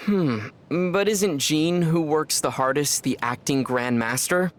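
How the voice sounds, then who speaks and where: An actor playing a calm, dry young male traveler from other worlds in a video game. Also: a little high, slow. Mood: wry. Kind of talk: video game dub dialogue. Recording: game audio with soft music behind.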